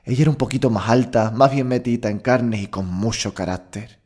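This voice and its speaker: A man's voice: mid-range voice, steady tone, a little fast, darkish tone, lightly acted. A male actor playing a proud young bullfighter, confiding earnestly in conversational monologue. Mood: tender grief. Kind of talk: intimate dramatic monologue in an audio drama.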